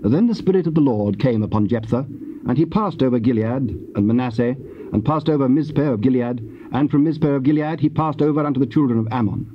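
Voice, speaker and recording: A man narrating scripture from a script in a solemn, measured and formal way. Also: medium pitch. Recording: muffled old cassette, faint hiss.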